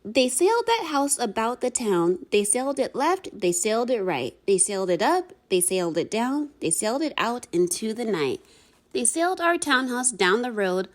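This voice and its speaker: A woman reads a rhyming picture book aloud with a theatrical, expressive delivery. Her voice is fairly high and moves widely up and down, shaping each verse for young listeners. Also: normal speed. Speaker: storybook reader.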